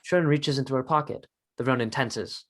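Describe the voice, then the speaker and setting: A man's voice: mid-range voice, moderate pace. A man reading stage directions as the narrator of a scripted drama.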